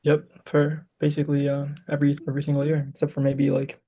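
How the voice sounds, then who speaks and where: A man's voice: a little high, completely flat delivery, quick pace, warm tone, husky. A young man from Michigan, a graduate student, chatting casually as a podcast guest, hesitant, with many fillers. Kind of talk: casual chat.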